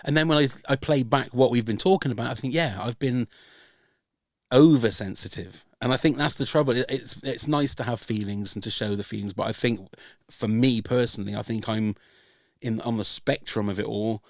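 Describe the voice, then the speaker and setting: A man's voice: medium pitch, normal speed, no room sound, studio quality. An older man hosting a podcast, talking hesitantly and openly about himself, with many ums and restarts. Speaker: podcast host. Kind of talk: casual chat.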